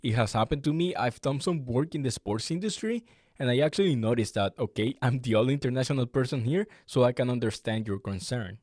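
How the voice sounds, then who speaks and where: A man's voice: mid-range voice, expressive, a little fast, a little mellow, no echo, clean studio sound. A man hosting a student interview show, asking friendly, careful questions. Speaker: podcast host, student interviewer.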